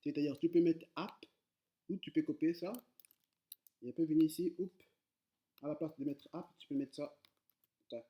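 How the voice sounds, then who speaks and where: A man's voice: fairly high pitch, fairly even intonation, moderate pace, pauses often, mellow. Hesitant non-native French-speaking man. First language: not French. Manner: hesitant, many fillers and repeated words; addresses viewers directly, informal. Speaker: coding tutor. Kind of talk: casual screen-recorded coding tutorial.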